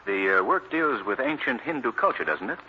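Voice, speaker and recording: An actor playing a courteous, composed gentleman sleuth in an old radio drama, speaking in a polite, measured way. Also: mid-range voice, very animated, dull audio, natural voice. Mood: courteous, inquiring. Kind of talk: 1940s radio acting. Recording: hissy, band-limited old radio recording.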